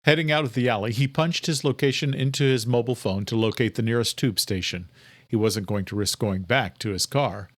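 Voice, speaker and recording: A man's voice: medium pitch, brisk, dry recording. A man reading scripted narration for a thriller audiobook.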